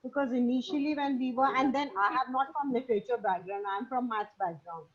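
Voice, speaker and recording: High-voiced woman on a lo-fi call. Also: fairly quick, breathy edge. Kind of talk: casual discussion talk.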